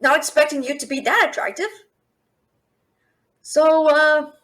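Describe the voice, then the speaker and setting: A woman acts out a feisty young thief from a fantasy audio drama, caught by a dragon and full of questions. Her voice is very high and expressive, with a slow pace. She talks straight to the listener in a sassy, incredulous manner. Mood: flustered, intrigued. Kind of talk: fantasy audio roleplay, one-sided dialogue to listener.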